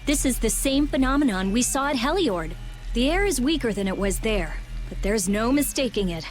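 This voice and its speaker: A woman plays a sharp-tongued teenage researcher girl in a video game. Her voice is high and lively, with a blunt, insistent delivery, and music sits faintly behind it. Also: normal speed, close to an everyday voice. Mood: analytical. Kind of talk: English video game voice acting.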